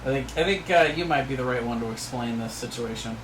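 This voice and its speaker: A man's voice: mid-range voice, fast talker. A man playing a tabletop game, talking things over casually and carefully, often repeating the start of a phrase. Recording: roomy echo, background noise.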